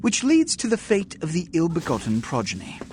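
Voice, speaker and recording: Pompous, theatrical vampire prince, sweeping intonation. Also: male voice, fairly high pitch, huge pitch swings, a little mellow, slight background noise. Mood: magnanimous, stern. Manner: formal, oratorical, measured. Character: pompous, authoritative vampire prince addressing his subjects. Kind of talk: theatrical video game acting.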